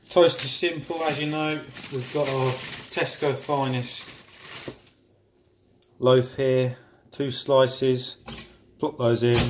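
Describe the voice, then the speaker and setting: A man's voice: medium pitch, a little hiss or hum. A young man, chatting casually and joking while he cooks in his home kitchen, filming on a handheld phone. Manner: chatty, jokey, rambling asides. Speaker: home cooking vlogger. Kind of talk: casual cooking vlog. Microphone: handheld phone camera.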